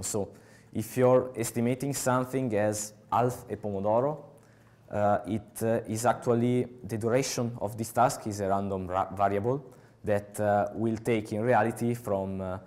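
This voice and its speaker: Young Italian-accented male speaker, slightly low voice, halting conference-talk delivery on a headset mic. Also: a little mellow. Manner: halting, many filler uhs. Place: large conference hall with audience.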